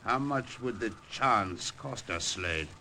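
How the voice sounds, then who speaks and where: Sharp, sinister henchman. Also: lowish voice, animated, full character voice. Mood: sinister, calculating. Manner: formal, measured menace. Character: smooth, sinister male German Gestapo henchman. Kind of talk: 1940s radio villain acting. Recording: old radio broadcast, faint noise.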